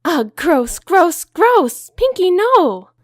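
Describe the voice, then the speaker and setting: A woman's voice: very high voice, big pitch swings, extremely slow, no echo, studio quality, voice pushed up, exaggerated voice. A woman voicing a prim, fussy female unicorn designer who speaks in a refined, indignant way.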